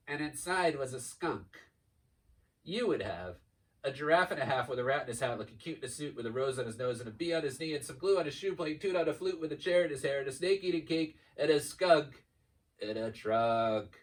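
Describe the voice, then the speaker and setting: Man, somewhat high-pitched, playful children's read-aloud, theatrical phrasing, slightly distant home recording. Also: lively intonation, normal speed. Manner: theatrical, stretches key words and races through cumulative lists. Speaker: school staff member reading a children's book aloud.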